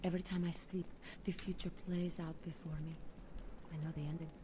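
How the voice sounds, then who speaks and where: A woman playing a troubled video game seer haunted by visions, speaking in a halting, cryptic, trancelike way. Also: fairly low pitch, level tone, noisy background, close to an everyday voice. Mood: ominous, prophetic. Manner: halting, cryptic, drifting between trance and fear. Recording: music behind the voice.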